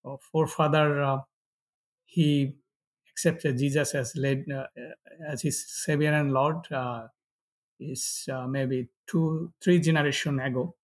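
A middle-aged man from Bangladesh with a Bangladeshi accent and Bengali as first language, giving personal testimony haltingly in non-fluent English. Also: on the high side, fairly even intonation. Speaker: pastor, interview guest.

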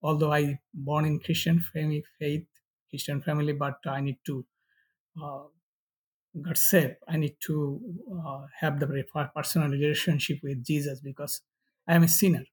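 A middle-aged man from Bangladesh with a Bangladeshi accent and Bengali as first language, giving personal testimony haltingly in non-fluent English. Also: a little high, level tone, husky, poor audio. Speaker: pastor, interview guest.

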